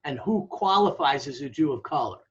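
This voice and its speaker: A man's voice: fairly high pitch, lively intonation, brisk. An American man explaining things in a conversational interview, emphatic and didactic, often checking in and posing rhetorical questions. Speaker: academic interviewee, demographer. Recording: dull, lo-fi audio.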